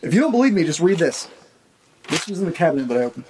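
A man's voice: on the high side, sing-song, rapid-fire. A man playing an anxious, earnest father who has just moved house, in a naturalistic audio drama. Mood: earnest, uneasy. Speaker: narrator and lead character of audio drama. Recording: roomy echo, faint background noise.

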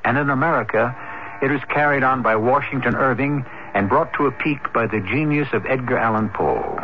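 A man hosting a radio mystery series with measured, theatrical scripted narration. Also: mid-range voice, slight background noise. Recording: dull old radio broadcast, loud music.

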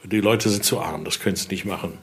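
Older guy with a lowish voice, researcher showing his collection, slightly non-native phrasing, keeps adding little tag questions. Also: fast talker. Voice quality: non-native-sounding German with grammar slips. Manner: frequent questioning tags at sentence ends. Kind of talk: explaining collection items to visitors in conversation.

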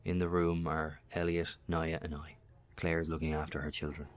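An actor playing a grave, wary professor surviving in a bunker in a horror audio drama, solemn and deliberate. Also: male voice, very deep voice, level tone. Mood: wary, tense.

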